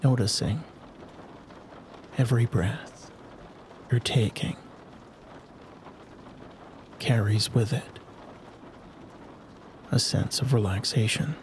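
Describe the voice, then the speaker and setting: A man narrating a guided sleep hypnosis in a soothing, unhurried manner with long pauses. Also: medium pitch, a little mellow, slight background noise. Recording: rain and thunderstorm sounds behind the voice. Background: rain and distant thunder ambience.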